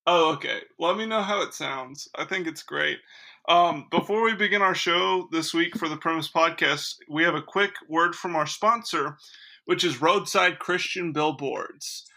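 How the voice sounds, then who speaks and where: A man's voice: on the high side, a little slow, pushed and bright. A man hosting a podcast in casual comedic chat, with a dry, mock-earnest manner. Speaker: podcast host.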